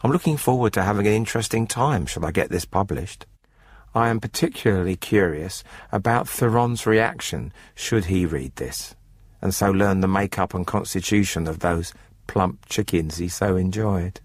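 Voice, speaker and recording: A smug farmer with murder on his conscience, narrating a radio drama. His voice is low and slightly husky, with measured, formal phrasing and a dry, coolly calculating air. The sound is close and dry, with music behind him. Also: animated, no room sound. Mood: smugly content.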